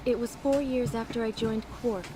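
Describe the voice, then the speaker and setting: A woman as a composed, higher-voiced female officer. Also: fairly even intonation, background noise, lightly acted. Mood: explanatory, calm. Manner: measured, polite, composed. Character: composed female second-in-command of a spaceship, calm and courteous. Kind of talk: video game voice acting.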